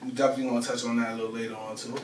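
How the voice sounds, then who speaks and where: A man hosts a relaxed sit-down interview, asking loose, easygoing questions and tossing in quick affirmations. His voice comes from a mic set back in an echoey studio with a faint hiss. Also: mid-range voice. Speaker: interview host. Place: studio set with brick backdrop. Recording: roomy echo, distant mic, faint hiss.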